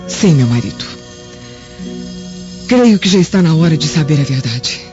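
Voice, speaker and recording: An actress playing a bitter, haughty Roman wife in a Brazilian radio drama, with an emphatic, melodramatic delivery. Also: female voice, deep voice, lively intonation, moderate pace. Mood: solemn, indignant. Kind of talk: radio drama acting in Portuguese. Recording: dull lo-fi radio recording, music behind.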